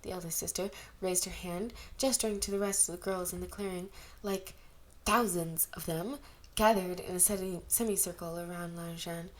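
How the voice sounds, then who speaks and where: A woman's voice: fairly low pitch, steady tone, moderate pace, poor audio. Woman reading a story aloud. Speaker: amateur audiobook reader. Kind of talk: scripted narration of fan fiction.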